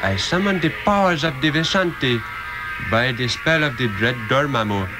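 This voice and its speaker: Solemn old mystic sage played by a man, formal and measured, mid-pitched, 1960s radio style over prominent music. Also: expressive, natural voice. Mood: commanding. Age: older. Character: ancient male master sorcerer, wise, solemn, mystical. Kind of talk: 1960s radio drama acting.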